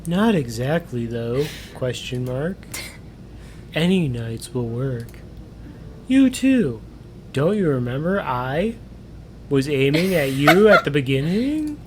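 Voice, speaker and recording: A man voicing a smug, teasing captive in a story, slow and condescending, with noise behind him. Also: very animated. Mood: sly, cool. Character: smug, teasing male captive with strange spells, slow and mocking. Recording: clear background noise with low rumble.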